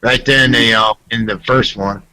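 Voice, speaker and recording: A man with a middling pitch and a notably bright, hard-edged voice. He debates casually over an online group call. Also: fairly even intonation, normal speed, rough recording.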